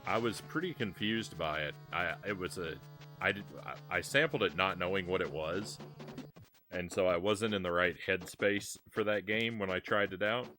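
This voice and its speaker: Deep-voiced, bright-toned male streamer. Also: moderate pace, faint music behind. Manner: rambling, self-deprecating, frequent restarts. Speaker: retro game streamer. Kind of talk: casual live-stream chat while gaming.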